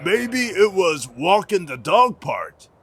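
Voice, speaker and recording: Excitable, superstitious teen boy sidekick , played by a man, high voice with wildly swinging intonation, slangy horror game dub. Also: slow pace, breathy edge, heavily acted. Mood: unsure. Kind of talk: visual novel fan dub acting.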